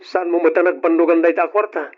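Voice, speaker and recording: An actor with an Ilocano accent playing a domineering, tight-fisted but doting husband in an old radio drama. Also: male voice, fairly high pitch, flat delivery, very fast, close to an everyday voice. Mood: stern, irritated. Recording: dull, lo-fi old radio recording.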